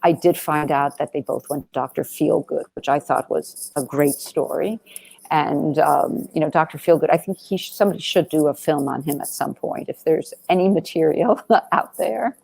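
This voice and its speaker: Low-voiced woman giving rambling, emphatic answers. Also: moderate pace, gravelly, a little mellow, breathy edge, dry recording. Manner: rambling, emphatic, with frequent "you know". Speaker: documentary director being interviewed. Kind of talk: conversational Q&A answers.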